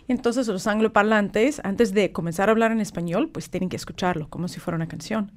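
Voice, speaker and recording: Woman from California, English native, calm explainer, studio radio guest, steady mid pitch. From California, United States. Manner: explanatory, measured, with frequent "este" fillers. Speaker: guest, polyglot author. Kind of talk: radio interview.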